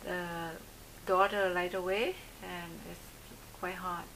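Bright woman, halting English. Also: lowish voice, little pitch movement, a little hiss or hum, poor audio. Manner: simple, halting phrasing. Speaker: interviewee. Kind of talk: casual conversational interview.

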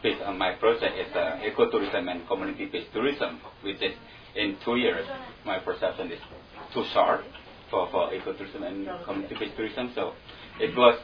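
Deep-voiced Indonesian man, heard from a distance. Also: normal speed. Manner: hesitant, restarts, non-native phrasing. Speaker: returned volunteer. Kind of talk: informal talk to an audience. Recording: distant, dull mic with faint noise.

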